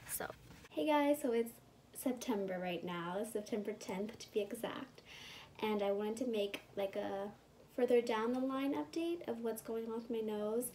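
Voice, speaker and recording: A young woman talks through a relaxed personal vlog update, her voice sitting a little high. She rambles loosely with lots of "um" and "like," and sounds a bit congested as she recovers. A faint hum of room ambience sits behind her. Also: unhurried, low-quality recording. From California. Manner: rambling, chatty, with fillers like "um" and "like". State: congested and recovering after surgery, sometimes whispering. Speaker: vlogger.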